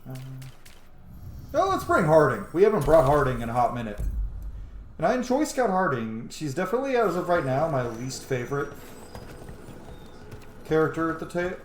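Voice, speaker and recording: Man, lively varied pitch, casual gameplay commentary, chatty, enthusiastic, roomy sound, light swearing. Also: moderate pace, long pauses, slight background noise. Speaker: host of a gameplay series.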